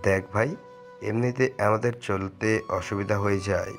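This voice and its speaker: A man's voice: lowish voice, steady tone, moderate pace, gravelly, faint music behind. Man playing a pleading, downtrodden father. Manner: pleading, submissive. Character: poor pleading middle-aged father.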